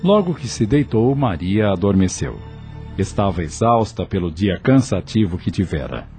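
A man narrates a radio soap from a script in a low, mellow voice. His pitch moves in lively swings and his tone is slightly husky, over faint music. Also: normal speed.

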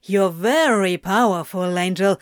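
A woman's voice: mid-range voice, a little slow, no echo, natural voice. Woman as male demon, sardonic, dry, muttering, protective, storybook character. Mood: dry, scoffing.

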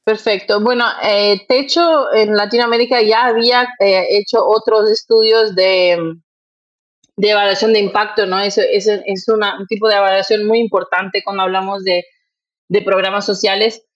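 A woman's voice: medium pitch, fairly even intonation, normal speed, lo-fi sound. A woman with a Brazilian accent and Portuguese as her first language, answering interview questions conversationally, thinking aloud with frequent tag questions. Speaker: interview guest, nonprofit executive director.

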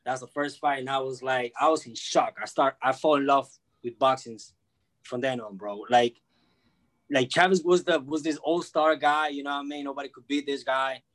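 A man's voice: mid-range voice, steady tone, moderate pace, a bit bright. A man chatting casually as a guest, telling personal stories in slangy, repetitive street talk. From born in Peru, grew up in the United States from age two. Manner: slangy, peppers talk with bro, man and you know what I mean. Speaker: guest, freelance sports media journalist.

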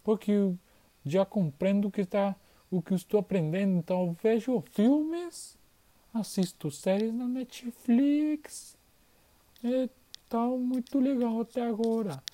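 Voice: medium pitch, unhurried, mellow, pitched above the performer's own voice, lightly acted. A performer voicing a 12-year-old boy from Argentina who is learning Portuguese, talking eagerly and repeating words as he searches for them.

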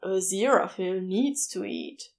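A woman's voice: mid-range voice, a little slow, pitched below the performer's own voice, lightly acted. A woman voicing amused, teasing hospital co-workers in an audiobook. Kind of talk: audiobook character acting.

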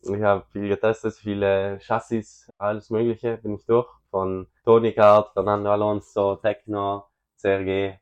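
Very deep-voiced young man, muffled sound. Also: level tone, clear, resonant tone. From South Tyrol, Italy. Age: young adult. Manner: halting, many fillers and restarts. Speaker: guest, racing driver. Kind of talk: casual interview answers.

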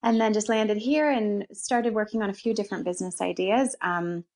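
A woman's voice: mid-range voice, moderate pace, a little mellow. A woman from Canada with a Canadian accent, talking conversationally about herself as an interview guest. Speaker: interview guest, co-working space founder. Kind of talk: conversational personal storytelling in an interview.

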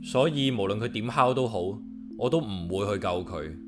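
A man's voice: lowish voice, animated, moderate pace, music in the background, natural voice. A man with a Hong Kong accent playing a grumpy, solitary, sarcastic man in a comic radio drama, grumbling and muttering to himself. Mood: grumbling, aloof. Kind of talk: comic radio drama acting and inner monologue.

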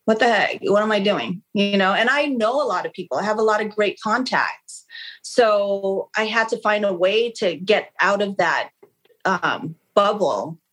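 A woman whose first language is Khmer speaks as an interview guest at a medium pitch. She talks frankly and with animation, often going back to restart a phrase. The feel is a candid, casual conversation. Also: poor audio. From Long Beach, California. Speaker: interview guest, real estate agent.

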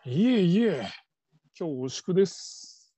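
A man's voice: high-pitched, very animated, very slow, dark tone, breathy edge, no room sound, full character voice. A man playing a timid, apologetic middle-aged call-centre trainee in a radio drama, speaking hesitantly and humbly. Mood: apologetic, nervous.

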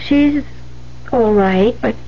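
An actress playing a gentle, principled young nun in a 1970s radio drama, polite, earnest and hesitant. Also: female voice, fairly high pitch, slow. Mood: polite, nervous. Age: young adult. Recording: muffled, hissy 1970s radio recording.

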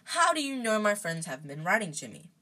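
A woman voicing an earnest, exasperated young boy in a storybook, speaking urgently and haltingly. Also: mid-range voice, big pitch swings, pushed and bright, pitched above the performer's own voice. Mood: suspicious. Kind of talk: storybook character voice.

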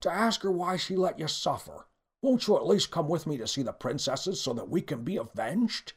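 A man voicing a scruffy, earnest freed prisoner in a storybook, husky, stammering and folksy. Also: a little high, very animated, moderate pace, pitched above the performer's own voice. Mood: pleading.

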